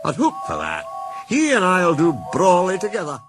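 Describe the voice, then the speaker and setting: A man voicing an earnest, excitable Scottish steam engine from a children's storybook, blurting things out in dialect. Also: high voice, moderate pace, music in the background, pitched above the performer's own voice. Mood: grateful, humble.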